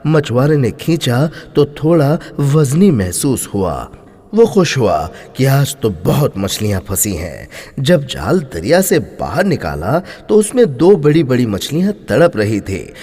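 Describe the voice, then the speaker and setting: A man narrating a scripted moral story in an expressive, emphatic storytelling manner. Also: mid-range voice, slightly rough. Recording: long hall-like reverb.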